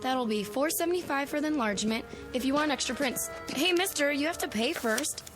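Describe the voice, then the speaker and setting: An American actress playing a businesslike photo shop clerk in a television drama, matter-of-fact, helpful and firm. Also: female voice, high-pitched, expressive, music in the background. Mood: insistent. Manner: matter-of-fact, brisk service talk.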